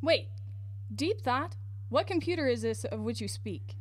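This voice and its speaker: A woman's voice: sits high, expressive, a little slow, husky, no echo, exaggerated voice. A woman playing an earnest, indignant computer designer in a science fiction radio drama, questioning in formal, insistent speech. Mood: puzzled, insistent.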